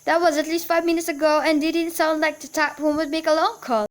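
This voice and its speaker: A high-voiced, nervous invalid wife in a radio play acted by a woman. She speaks in an agitated, insistent way, demanding and easily indignant, as if nobody is taking her seriously. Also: level tone, fast, close to an everyday voice. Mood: anxious, insistent.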